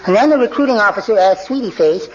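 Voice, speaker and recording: A man's voice: sits high, lively intonation, quick pace. An actor playing a meek, frail, henpecked little middle-aged man, timid and polite, in a 1940s radio comedy. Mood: meek, chirpy. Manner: timid, polite, mild-mannered. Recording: noisy, muffled 1940s radio recording.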